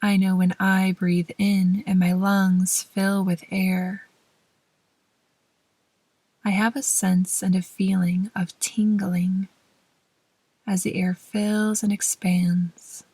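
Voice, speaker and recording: A woman gently leading a guided meditation in a soft, breathy voice that often trails toward a whisper, with measured pauses between short phrases. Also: medium pitch, level tone, no echo. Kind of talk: slow, soothing guided meditation narration.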